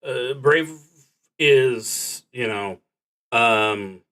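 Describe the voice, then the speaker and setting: A man streaming a solo tabletop game, casually explaining rules in a halting, thinking-aloud way that often trails off. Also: medium pitch, fairly bright tone.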